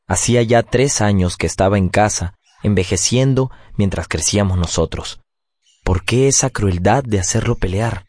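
An actor playing a nostalgic grown son, narrating his childhood in a measured, poetic radio drama style. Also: on the low side, darkish tone, no echo, natural voice.

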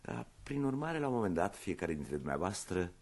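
An actor playing a courteous but insistent police commissioner in a radio detective comedy, questioning in a deliberate way. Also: male voice, low-pitched, big pitch swings, a little mellow, full character voice. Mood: courteous, probing. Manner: deliberate, polite, hesitant questioning.

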